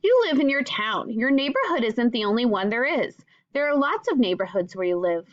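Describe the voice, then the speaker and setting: Female primary-teacher read-aloud voice, highish and very lively in pitch, addressing kids directly; dry, slightly dull lo-fi recording. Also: a little fast, dry recording. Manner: addresses young listeners directly with simple questions. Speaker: teacher reading a picture book aloud. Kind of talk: children's read-aloud with questions and asides.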